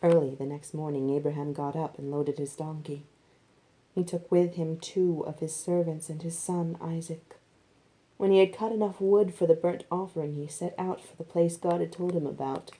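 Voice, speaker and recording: A woman reading scripted narration as expressive Bible storytelling. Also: deep voice, normal speed, low-quality recording.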